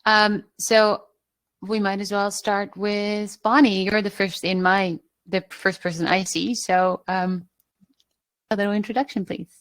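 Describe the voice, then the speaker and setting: A woman's voice: medium pitch, level tone, unhurried, a bit bright. Woman hosting a video call panel. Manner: friendly, chatty, apologetic with fillers. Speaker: host. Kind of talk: casual video call moderation.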